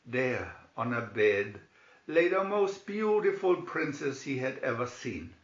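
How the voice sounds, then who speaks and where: A man reading a fairy tale aloud as a scripted narration, in a deliberate manner. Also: medium pitch, very animated. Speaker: storybook reader. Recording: dull, roomy amateur recording.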